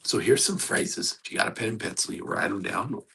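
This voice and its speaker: A hoarse man hosting a motivational coaching talk, speaking in an earnest, exhorting and conversational way. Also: fairly low pitch, animated, fairly quick, bright, forceful tone. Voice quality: gravelly, husky. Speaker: host.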